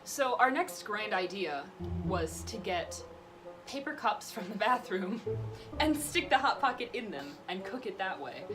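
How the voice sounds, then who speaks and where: A young woman vlogger casually telling stories in an animated way, acting out what people said. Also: mid-range voice, measured pace. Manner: animated, acts out quotes. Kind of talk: casual storytelling. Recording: music behind the voice.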